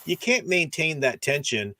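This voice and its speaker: A man's voice: on the high side, very animated, moderate pace, sharp tone, no room sound. An enthusiastic, chatty man co-hosting a casual discussion and recapping as he goes. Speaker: podcast co-host.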